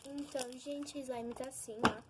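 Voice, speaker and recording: A young Brazilian girl with a high voice talks casually to her viewers. She is informal and chatty, like a hobby vlogger. The homemade recording is clearly noisy, with small clicks. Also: steady tone, normal speed. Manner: informal, giggly, addresses viewers often. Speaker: vlogger showing slime. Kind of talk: casual chat to camera with hands-on demonstration.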